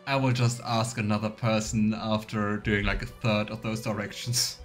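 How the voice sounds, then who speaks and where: A man's voice: on the low side, flat delivery, normal speed, soft background music. A man giving casual, chatty let's play commentary with playful sarcasm. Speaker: livestreamer playing a video game.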